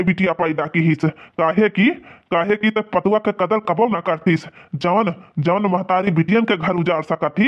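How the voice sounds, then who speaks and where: A man's voice: sits high, close to an everyday voice. A man voicing an angry, blustering old village husband in a cartoon comedy, with an Awadhi accent, in dull lo-fi sound. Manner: blustering, repeats accusations.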